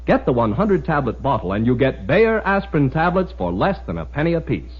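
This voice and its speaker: An American man delivering an old-time radio commercial as announcer, emphatic, deliberate and persuasive. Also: fairly high pitch, sing-song. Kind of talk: mid-century radio advertising pitch. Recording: muffled, dull old radio recording.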